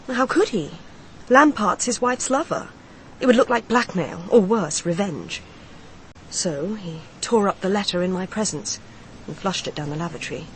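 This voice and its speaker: An actress plays a woman mourning her lover in a radio crime drama. She confides in measured, candid phrases at a middle pitch, with faint noise behind her. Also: lively intonation, close to an everyday voice, rough recording. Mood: resigned, bitter. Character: grieving, candid mistress confiding in a detective.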